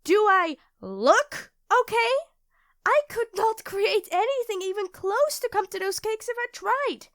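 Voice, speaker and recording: A woman's voice: very high-pitched, animated, a little slow, a bit bright, no room sound, studio quality, exaggerated voice. A young woman playing an affectionate, easily flustered girlfriend in an ASMR roleplay, speaking expressively and intimately to her partner. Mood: panicked, disbelieving. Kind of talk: ASMR roleplay acting addressed to the listener.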